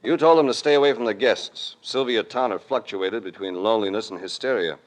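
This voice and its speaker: An actor playing a cool, commanding investigator in an old radio mystery, with terse and authoritative delivery. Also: male voice, lowish voice, fairly quick, natural voice, rough recording. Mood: accusing, firm. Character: cool, commanding male investigator, hard-boiled and shrewd. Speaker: lead actor and narrator. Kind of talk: 1940s-50s radio detective acting. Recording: vintage radio drama recording.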